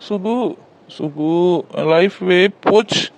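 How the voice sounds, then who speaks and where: A man's voice: sits high, slow, low-quality recording. A man playing a hungover, heartbroken young man who mutters to himself in a groggy, self-pitying way.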